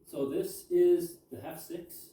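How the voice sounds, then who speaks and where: A man's voice: a little high, steady tone, slow, dark tone. A man presenting as a collector and historian, reading articles aloud and adding informal commentary. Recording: echoey room, distant mic.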